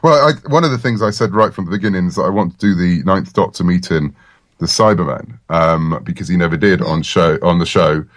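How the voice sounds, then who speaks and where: A man's voice: low-pitched, a little fast, no echo, clean studio sound. A man chatting casually as an interview guest, with a wry, self-deprecating manner. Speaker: interview guest, comics and audio writer.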